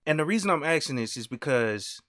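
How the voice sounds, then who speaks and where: A man's voice: on the high side, animated, fairly quick, a bit bright, no echo, clean studio sound. A young man hosting a live-stream interview, who asks loose questions that often trail off, with a habit of saying "you know what I'm saying.". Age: young adult. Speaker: host.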